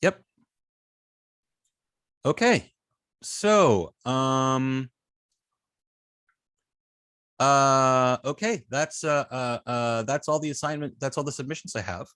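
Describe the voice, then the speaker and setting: A man's voice: medium pitch, slow pace, pauses often, fairly bright tone, smooth, no room sound, studio quality. A man teaching an informal hands-on coding lesson, thinking aloud hesitantly and trailing off mid-sentence. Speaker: web design instructor.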